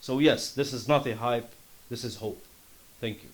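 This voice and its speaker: A man from Syria with a Lebanese accent giving an earnest, impassioned startup pitch and answering questions. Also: normal speed, noisy background. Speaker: startup founder presenting. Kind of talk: conference pitch and Q&A.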